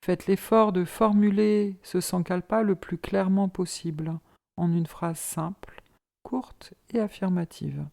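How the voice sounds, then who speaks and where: A woman leading a guided yoga relaxation as an instructor, in a calm, measured, soothing manner. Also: on the low side, creaky.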